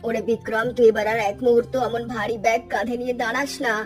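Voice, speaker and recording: A woman's voice: a little high, level tone, fast talker, music in the background, close to an everyday voice. A woman voicing a doting, fussing village mother of a grown son in a cartoon. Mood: fussing affectionately. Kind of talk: Bengali cartoon story dialogue.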